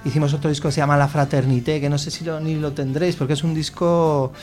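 A man's voice: fairly high pitch, steady tone, quick pace, a little mellow, faint music behind. A man with an accent from Spain, a musician chatting casually and colloquially as an interview guest. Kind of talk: casual chat.

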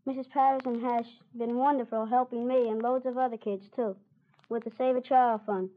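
A woman's voice: on the high side, steady tone, moderate pace, background noise, lightly acted. A woman playing a cheerful, grateful young schoolboy in a mid-century radio broadcast. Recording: dull, lo-fi old radio recording.

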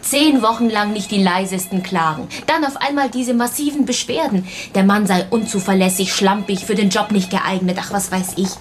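A woman playing a chatty, sharp-witted detective's assistant with an expressive, colloquial delivery in a radio crime drama. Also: medium pitch, normal speed, hardly any pauses, natural voice. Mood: gossipy, exasperated.

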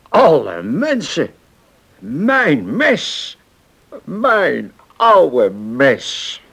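An old seafarer in a radio drama, slow and gravelly. He is genial and sentimental, muses aloud, repeats his phrases and teases his friend with a lively, swinging pitch. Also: heavily acted. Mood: delighted, wistful. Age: older. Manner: slow, musing, repeats himself. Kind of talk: radio play acting.

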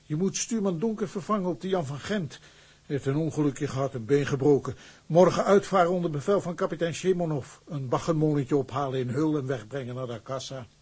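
Husky office clerk in a radio play, wry and matter of fact. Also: male voice, a little high, fairly quick, darkish tone.

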